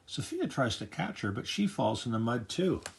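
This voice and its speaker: Gravelly-voiced older grandpa storyteller. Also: male voice, medium pitch, moderate pace, a little hiss or hum. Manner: gentle, grandfatherly, animated storytelling. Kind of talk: children's read-aloud narration.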